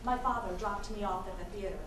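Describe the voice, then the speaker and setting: An actress with an American accent playing a wry, wistful Korean American adoptee in a live stage monologue, speaking dramatically and deliberately with pauses. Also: female voice, mid-range voice, lightly acted. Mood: nostalgic. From United States. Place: live stage performance in a venue. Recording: roomy, noisy, distant stage recording.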